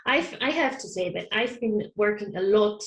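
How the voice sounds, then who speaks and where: A woman whose first language is German talks through a casual interview in a soft, hesitant way, often breaking into small laughs. Her voice sits at a middle pitch. It carries a noticeable room echo over what sounds like a video call. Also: lively intonation, moderate pace. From Austria. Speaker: online counsellor, guest. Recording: roomy echo.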